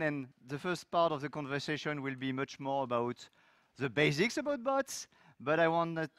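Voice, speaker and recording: A middle-aged man from France with a French accent, giving a technical talk on a conference stage with loose, lively phrasing and many fillers. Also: mid-range voice, smooth, no echo, clean studio sound. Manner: loose, lively delivery with frequent fillers and restarts. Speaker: conference speaker. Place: large conference hall stage.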